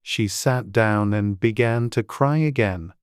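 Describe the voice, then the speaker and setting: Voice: medium pitch, takes it slowly, clean tone, no room sound, clean studio sound. A narrator reading a children's storybook as a scripted audiobook.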